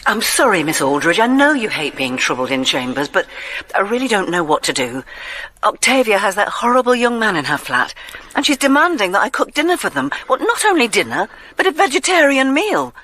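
A woman's voice: medium pitch, very animated, brisk, bright, forceful tone, slight background noise. An actress playing an anxious, flustered woman pleading for help in a radio play. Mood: flustered, complaining.

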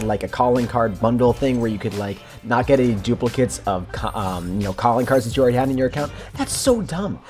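A young man with a slightly raspy voice, giving hyped, chatty commentary while opening loot in a game, recorded in his bedroom. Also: mid-range voice. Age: young adult. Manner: hyped, chatty, bursts of excitement. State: slightly raspy voice after yelling. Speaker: gaming YouTuber. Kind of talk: excited gameplay commentary.

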